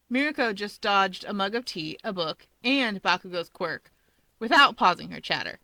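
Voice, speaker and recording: A woman narrating a scripted piece of fiction in an expressive storytelling style. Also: medium pitch, no room sound, rough recording.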